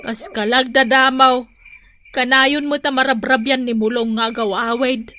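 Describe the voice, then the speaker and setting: Radio comedy-drama: chiding Ilocano farm wife, high-pitched, sharp, practical. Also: female voice, steady tone, normal speed.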